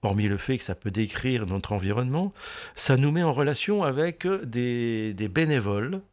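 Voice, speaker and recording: A man's voice: medium pitch, expressive, normal speed, darkish tone. A man hosting a local radio talk show, explaining things to listeners in a chatty way, with frequent restarts.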